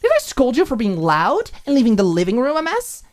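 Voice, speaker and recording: A woman's voice: on the high side, huge pitch swings, a little fast, pitched above the performer's own voice. A woman voicing a furious, hurt young roommate in an audiobook, firing off sarcastic, emphatic rhetorical questions. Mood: sarcastic, angry. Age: young adult. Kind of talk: audiobook character acting.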